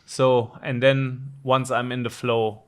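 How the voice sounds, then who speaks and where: Middle-aged man, unhurried and halting, clean clear voice, casual interview guest. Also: mid-range voice, level tone. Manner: halting, many ums and restarts. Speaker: guest, managing director.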